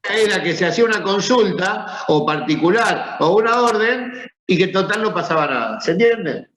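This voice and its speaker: Male teacher, Rioplatense accent, higher voice, chatty and questioning, echoey classroom, muffled recording. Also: lively intonation, normal speed, a bit bright. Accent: Rioplatense Spanish. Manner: conversational, asks the class questions. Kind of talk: classroom lecture. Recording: echoey room, dull sound.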